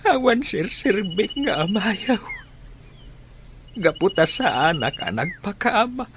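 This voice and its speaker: A man's voice: sits high, very animated, slow pace, a bit bright, slight background noise, heavily acted. A man with a Filipino accent playing a weary, remorseful ageing father in a radio drama. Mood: ashamed.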